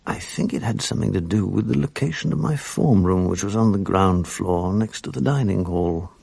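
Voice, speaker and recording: An actor playing a reflective English man who narrates memories of boarding-school days in a measured, articulate, literary way. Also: low-pitched, animated, creaky, a little mellow, husky, no room sound, full character voice. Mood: wistful, reflective. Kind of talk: literary first-person drama narration.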